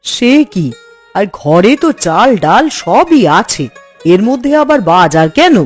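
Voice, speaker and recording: A woman playing a fretful, scolding housewife and doting mother in a cartoon, exasperated and coaxing in an expressive way. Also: medium pitch, measured pace, faint music behind. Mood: brisk, practical. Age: adult. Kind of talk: cartoon voice acting.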